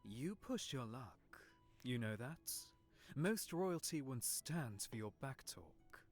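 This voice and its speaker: An actor playing a grumpy, bashful young prince in a visual novel game, with a halting, easily embarrassed delivery. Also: male voice, mid-range voice, very animated, faint music behind. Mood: haughty annoyance. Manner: stammering, halting when embarrassed.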